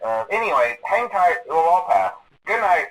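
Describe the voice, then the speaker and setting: A man's voice: fairly high pitch, big pitch swings, normal speed, noisy background. A man playing a friendly, nervous, evasive restaurant employee leaving rambling, hesitant training messages over a filtered telephone line. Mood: nervous, reassuring. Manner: hesitant, rambling, falsely reassuring, many uhs. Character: friendly, nervous, evasive night-shift phone message caller. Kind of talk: acted recorded phone message, conversational.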